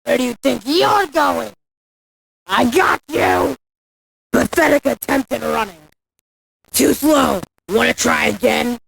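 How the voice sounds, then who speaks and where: Gravelly, taunting killer monster, voiced by a woman. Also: fairly high pitch, takes it slowly, long pauses, dry recording, exaggerated voice, low-quality recording. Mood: menacing taunting. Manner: mocking, menacing, shouted taunts. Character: taunting, boastful, bloodthirsty speed-obsessed killer monster. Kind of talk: video game character voice lines.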